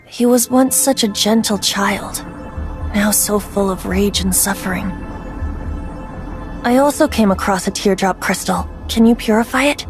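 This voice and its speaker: A woman's voice: medium pitch, measured pace, close to an everyday voice. A woman voicing a youthful, boyish male bard in a video game, whimsical and theatrical, with a playful, wistful lilt. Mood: sorrowful. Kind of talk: video game character acting. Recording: music behind the voice.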